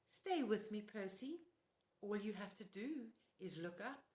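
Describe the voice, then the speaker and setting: A woman's voice: medium pitch, lively intonation, unhurried, a little mellow, lightly acted. A woman voicing a monstrous old woman disguised as a kindly auntie, speaking in a coaxing, sugary purr. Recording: roomy echo.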